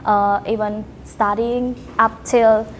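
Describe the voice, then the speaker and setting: Young cambodian lady on a podcast, kinda high voice, pauses hunting for words, bit of noise behind her. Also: level tone. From Cambodia, Battambang province. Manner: halting, searches for words in non-native phrasing. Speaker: podcast guest, teacher and student. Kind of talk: casual interview answers.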